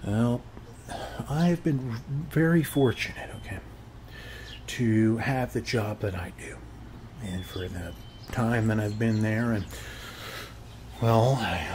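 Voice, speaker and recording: A man's voice: on the low side, slow, long pauses, a little mellow, slight background noise. A man playing a friendly, chatty suburban neighbor in a casual ASMR roleplay, rambling reassuringly in a folksy way and tagging phrases with short check-in questions. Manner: folksy, reassuring, repeats "okay?" as a tag. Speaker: ASMR performer.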